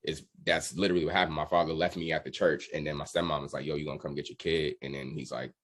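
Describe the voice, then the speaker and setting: A man's voice: rumbling low, level tone, fast, slight background noise. An American man giving a casual interview as a guest, telling stories in a candid, slangy way. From Washington DC area, United States. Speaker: musician guest, drummer.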